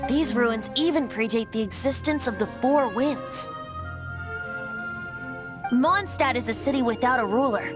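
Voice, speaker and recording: A woman's voice: on the high side, unhurried, long pauses, over music, lightly acted. A woman voicing a breezy, whimsical young male bard in a video game, with a lilting, wistful storyteller delivery. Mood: breezy, musing.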